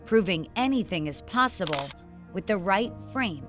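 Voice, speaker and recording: Woman reporter narrating over soft music. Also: mid-range voice, animated, moderate pace. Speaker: TV news reporter. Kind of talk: scripted narration.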